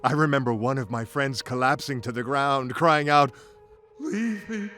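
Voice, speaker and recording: A man's voice: mid-range voice, steady tone, moderate pace, pushed and bright. A man narrating a scripted first-person story in a theatrical, folksy, playful manner. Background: music bed.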